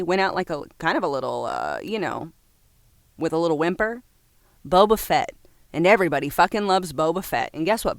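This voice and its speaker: A woman's voice: fairly low pitch, no room sound, studio quality. A woman reading out a list as casual commentary, breaking off into informal, opinionated asides with some swearing. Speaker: reviewer reading a comics top-ten list. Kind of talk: casual list commentary, partly read from a script.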